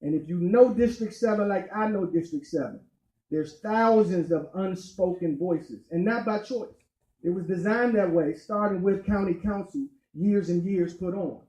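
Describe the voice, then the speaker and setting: A man speaking as a candidate at a community meeting, emphatic and deliberate, pausing on key points. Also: sits high, mellow. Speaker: county council candidate. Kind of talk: campaign speech at community meeting. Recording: echoey meeting room.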